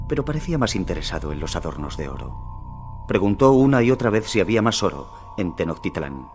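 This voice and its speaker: An actor playing a grave Aztec warrior in a video game, solemnly narrating his empire's fall in measured Castilian Spanish. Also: on the low side, natural voice. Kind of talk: scripted dramatic narration, storytelling.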